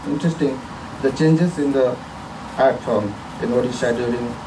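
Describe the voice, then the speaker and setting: A man's voice: medium pitch, steady tone, normal speed, recorded from a distance, background noise. A man from India giving a formal, earnest self-introduction at a conference, speaking from a prepared statement in an auditorium.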